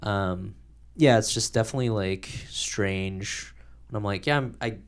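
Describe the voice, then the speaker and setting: Young male podcast host, deep and creaky, easygoing rambling talk. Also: normal speed. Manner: casual, agreeable, many "like" fillers. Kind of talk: casual podcast conversation.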